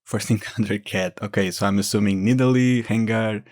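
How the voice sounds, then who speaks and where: Low-voiced man giving casual commentary. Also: fairly even intonation, normal speed, a little mellow. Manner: explanatory, informal. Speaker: gaming video creator.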